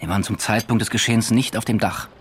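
A man acting a deferential junior detective in a radio play, low-voiced and factual. He reports carefully and with reserve, over faint background noise. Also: fairly even intonation, fast, close to an everyday voice. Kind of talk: German radio drama acting.

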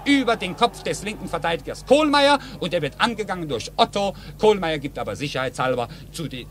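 High-voiced man, noisy old football radio commentary. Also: a bit bright. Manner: detailed running play-by-play in short clipped phrases. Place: outdoors in a football stadium.

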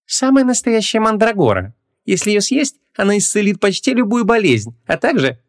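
Shopkeeper swindler: oily, wheedling, mock-offended, highly animated delivery, very dry storybook recording. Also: male voice, normal speed, no room sound. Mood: oily salesmanship. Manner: oily, ingratiating, theatrically indignant. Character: sugary, wheedling male antique shop swindler.

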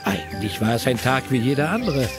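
A man narrating a children's audio story in an expressive, playful way. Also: mid-range voice, fairly quick, over music.

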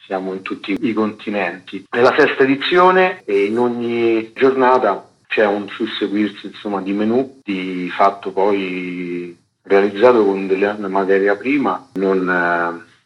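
A man's voice: fairly low pitch, a little slow. A man explaining things spontaneously as an activist interviewed on the radio. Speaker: interviewed activist and event organiser. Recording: dull lo-fi radio sound.

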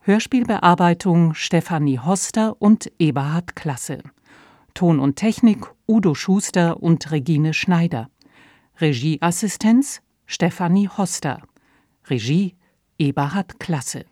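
A woman reading a scripted radio drama announcement in a measured, neutral manner on a close studio microphone. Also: on the low side, expressive, warm tone, no room sound.

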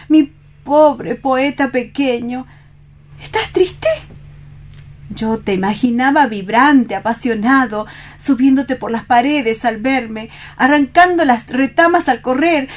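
A woman playing a passionate, domineering woman adventurer in a radio play reading, with a theatrical, coaxing manner. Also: sits high. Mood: teasing, tender.

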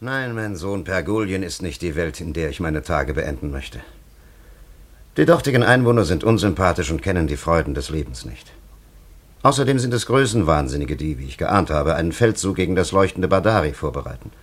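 An actor playing a dignified, pleasure-loving time traveller from an ancient land in a radio drama, with a gravelly, husky voice and lofty, formal diction. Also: male voice, sits low, animated, fairly quick. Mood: disdainful, urgent. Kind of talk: German radio drama acting.

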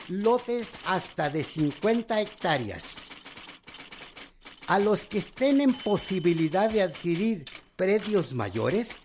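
Slow, high-voiced old-time newsreader. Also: male voice, a little mellow, a little hiss or hum. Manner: formal, deliberate, proclaiming. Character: male newspaper voice reading out old official news. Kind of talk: scripted newspaper reading in radio drama.

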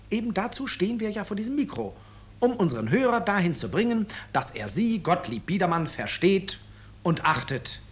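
Animated, ironic male author-narrator, slightly husky. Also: fairly high pitch. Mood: suave, ironic. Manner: ironic, courteous, deliberate, emphatic. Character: urbane, ironic male author presenting his own story. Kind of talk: 1950s German radio drama narration.

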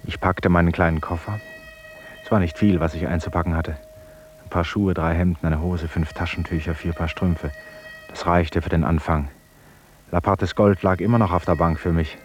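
Actor as measured, determined male inmate. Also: low-pitched, fairly even intonation, soft background music, lightly acted. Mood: reflective narration. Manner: measured, deliberate, insistent. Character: determined, sober male asylum inmate, narrating his escape. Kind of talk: German radio drama acting and first-person narration.

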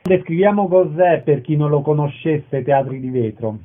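Higher-voiced male radio host, phone-like sound. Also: moderate pace. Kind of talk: radio interview presentation.

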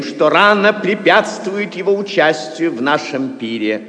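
An actor playing a haughty, suspicious medieval prince in a Russian audio play, with mocking, declamatory delivery. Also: male voice, high-pitched, fairly bright tone, full character voice. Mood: pompous, grand. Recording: long hall reverb.

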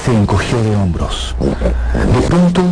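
A man narrating a literary radio drama in a scripted, expressive storytelling style with deliberate pauses. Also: fairly low pitch, heavy background noise. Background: loud music.

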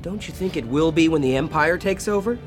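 An actor with an American accent playing an idealistic young would-be pilot, earnest and pleading, in a space-opera radio drama. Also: male voice, fairly high pitch, a little fast, music in the background, natural voice. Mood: urgent, pleading. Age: young adult.

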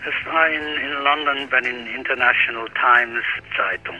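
An actor playing a vague older former hippie, answering questions haltingly on the phone in a radio drama. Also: male voice, mid-range voice, fairly even intonation, dull audio, faint music behind, natural voice. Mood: halting, recalling.

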